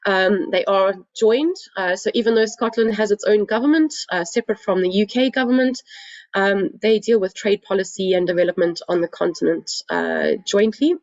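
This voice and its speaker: A South African woman speaks over a video call from home, her voice croaky from a cold. She presents in an earnest, polite way, pausing often to find her words. The sound is dull and lo-fi, with a dry, close feel. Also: medium pitch, a little mellow, dry recording. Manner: earnest, polite, frequent hesitations. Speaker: guest speaker. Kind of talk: webinar presentation. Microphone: computer mic on a video call. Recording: dull, dry lo-fi webinar audio.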